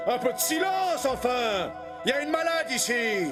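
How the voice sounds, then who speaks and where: A man voicing a pompous, hot-tempered government minister in a French animated storybook cartoon. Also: very high-pitched, moderate pace, over music. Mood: furious.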